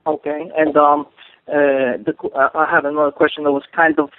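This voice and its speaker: A man's voice: a little high, flat delivery, moderate pace, no echo. A male reporter hesitantly asking questions over the telephone on a press conference call. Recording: dry narrow-band phone line.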